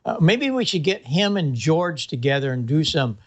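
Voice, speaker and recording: A man's voice: a little high, very animated, normal speed. A man hosting a show in casual chat, easygoing and joking. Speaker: show host.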